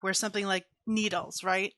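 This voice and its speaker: Woman gamer with a bright, forward voice. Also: medium pitch, flat delivery, normal speed, no room sound, studio quality. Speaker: tabletop role-playing game player. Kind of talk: casual in-game theorizing.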